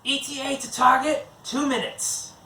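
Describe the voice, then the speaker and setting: A man's voice: extremely high pitch, level tone, a little slow, gravelly, pushed and bright, reverberant, far from the mic, pitched above the performer's own voice, exaggerated voice. A man voicing a commanding female fantasy villain who hisses urgent military orders in a storybook reading. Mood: cold, commanding. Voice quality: hissing.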